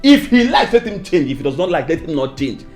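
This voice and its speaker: A man acts out an outspoken, preachy friend with a Nigerian accent. His voice sits high and leaps all over the place as he lectures, scolds and gushes, with faint music behind. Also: big pitch swings, a little fast. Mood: lecturing. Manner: loud, effusive and preachy, bursts into laughing shouts. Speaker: friend in a family comedy skit. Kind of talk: comic skit acting.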